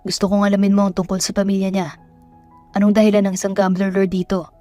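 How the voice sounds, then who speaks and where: A brooding young businessman from a romance audio drama, read by a woman, serious and commanding in short, terse lines. The voice sits at a middle pitch, dry and close, with music behind. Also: monotone, fast, mellow, no room sound, lightly acted. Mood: stern.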